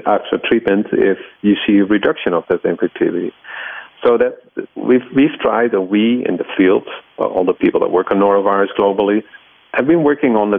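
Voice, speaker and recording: Middle-aged male scientist, Dutch accent, gravelly low voice, halting explanatory interview delivery. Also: dry recording, phone-quality sound. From Netherlands. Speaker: research scientist and laboratory head, interviewee.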